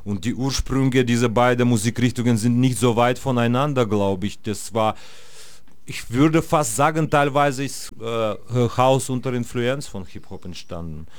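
A man's voice: medium pitch, moderate pace, smooth. A man, a music producer and DJ, talking casually as an interview guest, with many fillers and restarts. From Russia. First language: Russian. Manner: hesitant, many fillers and restarts, laughs easily.

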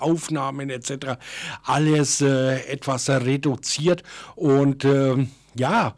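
An older man giving an informal, unscripted monologue as a presenter, halting and digressive, thinking aloud and correcting himself as he goes. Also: on the high side.

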